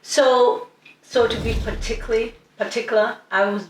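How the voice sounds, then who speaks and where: A woman's voice: fairly high pitch, normal speed. Young woman from East Africa, chatty interview guest, distant mic in a roomy space. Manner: chatty, many restarts, lively storytelling. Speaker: guest singer. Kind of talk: casual interview. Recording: echoey room, distant mic, faint noise.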